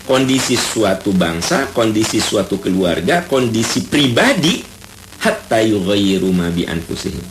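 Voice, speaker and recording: A man from Bandung with a Sundanese accent, preaching a lively radio sermon that repeats key terms and recites Arabic verses. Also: on the low side, noisy background. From Bandung, Indonesia. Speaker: Islamic preacher. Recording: roomy radio broadcast with faint ambience.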